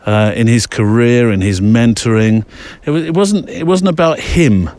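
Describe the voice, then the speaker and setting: A man's voice: medium pitch, normal speed, a little mellow. Male host, unscripted walk-and-talk, meandering with plenty of ums and self-corrections, recorded outdoors. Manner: rambling, with frequent ums and restarts. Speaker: podcast host. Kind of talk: casual spoken monologue. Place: outdoors in a snowy mountain area.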